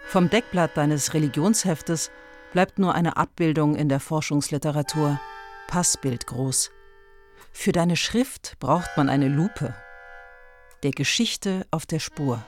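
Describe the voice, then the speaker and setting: A man narrating a literary radio play in measured, clipped poetic phrases, as if addressing its subject directly. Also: a little high, soft background music.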